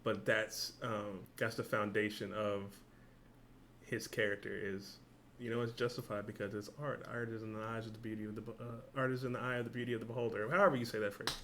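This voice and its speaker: A man hosting a reaction video, talking in a relaxed, thinking-aloud way and swearing now and then. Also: on the low side, a little fast, a little hiss or hum. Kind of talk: casual reaction commentary.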